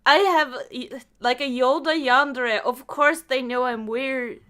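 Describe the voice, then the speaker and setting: A woman's voice: sits high, steady tone, a little slow, pushed and bright, clear, resonant tone. A woman hosting a casual livestream chat in a giggly, jokey way. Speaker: podcast host and streamer.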